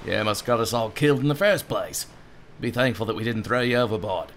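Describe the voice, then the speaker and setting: A man's voice: fairly low pitch, animated, a little fast, a bit bright, slight background noise. A man voicing a hostile, curt pirate sailor in an adventure game. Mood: surly.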